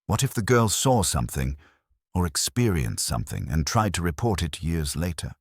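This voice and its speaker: A methodical English detective played by a male narrator, with a very deep, somewhat raspy voice. He is courteous and probing, setting out his thoughts deliberately with expressive intonation in a mystery audiobook. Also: no echo, studio quality, exaggerated voice. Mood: deliberate, thoughtful.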